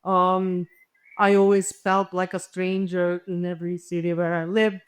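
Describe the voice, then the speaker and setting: A woman's voice: on the low side, level tone, measured pace, smooth. A woman from the former Yugoslavia, a native Serbo-Croatian speaker, thinking aloud on a panel with many hesitations. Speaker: panelist and literature researcher. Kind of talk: reflective panel discussion answer.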